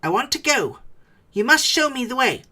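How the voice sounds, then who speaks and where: Guy doing a small plucky adventurer in a storybook, higher raised voice, trying to sound brave, very animated. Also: moderate pace, full character voice. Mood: uneasy, demanding. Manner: insistent, raised, theatrical delivery. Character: small male adventurer, nervous but acting bold and cheerful.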